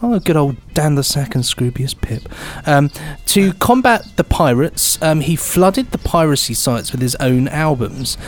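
A man's voice: a little high, lively intonation, a little slow, hardly any pauses. A man co-hosting a podcast, chatting casually and reading out listener emails with affable, slightly hesitant phrasing. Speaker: podcast co-host.

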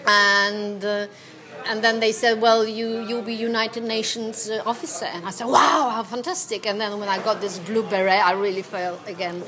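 A woman with a Polish accent, an actress chatting as a guest in a casual pub interview, animated and quick to laugh. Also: medium pitch. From Poland. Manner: animated, laughs often, acts out quotes. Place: busy pub. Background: crowd chatter.

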